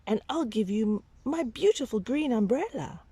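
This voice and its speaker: A woman's voice: a little high, lively intonation, normal speed, mellow, breathy edge, voice pushed up. A woman voicing a meek, polite little boy who pleads and bargains in a sing-song way, in a children's storybook. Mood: pleading.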